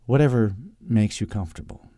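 A man voicing a reserved, polite schemer in an audiobook, formal and measured, with a strained courtesy. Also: fairly low pitch, warm tone, no room sound, studio quality, close to an everyday voice.

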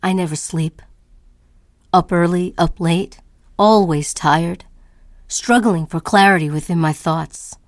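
A woman's voice: lowish voice, takes it slowly, pauses often, dry recording, studio quality. A woman performing a restless, anxious artist's first-person monologue in a brooding, emphatic, theatrical way. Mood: weary, anxious. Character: restless, anxious, self-questioning woman artist, neither old nor young.

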